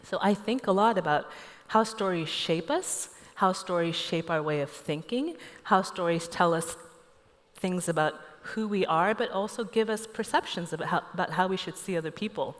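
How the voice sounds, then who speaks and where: Panelist: woman from Nigeria, low voice, thoughtful, recorded in a reverberant hall. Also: normal speed. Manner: reflective, storytelling. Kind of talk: panel discussion. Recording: echoey hall.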